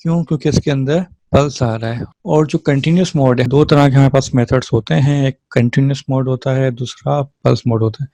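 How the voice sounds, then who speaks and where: A man gives an informal lecture as a physiotherapy teacher, in a mellow, relaxed voice that stays very even in pitch. He explains step by step, drops in English terms and adds short check-in questions. The sound is dry, with almost no room in it. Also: a little fast, hardly any pauses, no echo.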